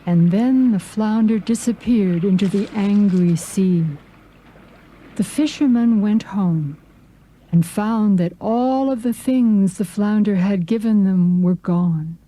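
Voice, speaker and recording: A woman's voice: medium pitch, slow pace, dark tone, low-quality recording. A woman narrating a folk tale as scripted storybook narration for English learners.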